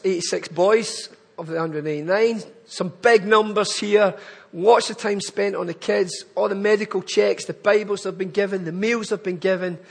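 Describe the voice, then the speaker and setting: Scottish guy from glasgow pleading with a church crowd, husky voice, high pitch. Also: long reverb tail. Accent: Glaswegian. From Scotland. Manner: earnest, pleading, building to emphatic lists. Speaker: charity speaker at a church. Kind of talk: church appeal talk.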